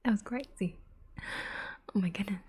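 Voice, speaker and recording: A woman hosting an ASMR livestream, chatting softly to viewers in a breathy whisper with unhurried asides. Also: medium pitch. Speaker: livestream host, ASMR artist.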